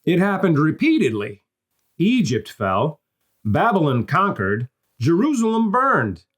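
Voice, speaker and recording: A man with a somewhat high voice delivers scripted explanatory narration. His intonation moves widely, with emphatic stress on key points. He teaches in a didactic way, setting up questions and answering them. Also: huge pitch swings, measured pace, fairly bright tone. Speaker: narrator of a Bible prophecy course.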